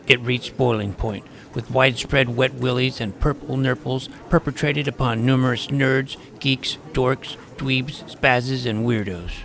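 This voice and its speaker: An actor playing a nerdy middle-school boy who narrates his own superhero exploits in a dry, self-important, deadpan way. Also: mid-range voice, vocal fry. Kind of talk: scripted audio drama narration.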